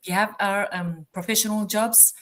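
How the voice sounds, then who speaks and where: A woman's voice: medium pitch, steady tone, normal speed, fairly bright tone. A woman living in Australia, moderating a live online panel with enthusiastic, filler-heavy talk.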